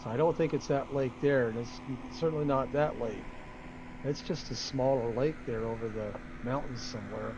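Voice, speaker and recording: A man's voice: medium pitch, normal speed, highs cut off. A man who is a native English speaker, thinking aloud in casual, rambling commentary as he streams a flight simulator. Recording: loud steady engine drone. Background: flight simulator aircraft engine noise.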